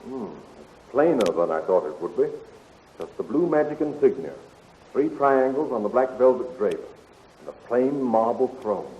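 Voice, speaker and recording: An actor playing a bold, commanding young space hero in a 1930s radio adventure serial. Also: male voice, medium pitch, very animated, moderate pace. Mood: relaxed, affectionate. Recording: muffled, hissy 1930s radio recording.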